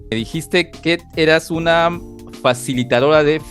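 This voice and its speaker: A man's voice: fairly high pitch, moderate pace, fairly bright tone, soft background music. A man hosting a podcast interview, greeting listeners eagerly and sometimes repeating words as he goes. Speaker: podcast host.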